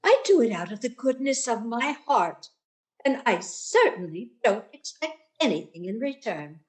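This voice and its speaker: A woman's voice: fairly high pitch, big pitch swings, moderate pace. A woman playing a motherly older landlady in a radio sitcom, wheedling and guilt-tripping with martyred self-effacement.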